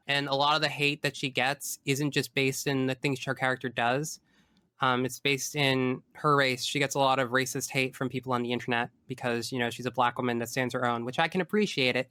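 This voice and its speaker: Man, podcast guest, casual chat, smooth clear tone, dry sound, emphatic, opinionated, digressive. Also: medium pitch, bright, forceful tone, dry recording. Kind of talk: casual chat about video games.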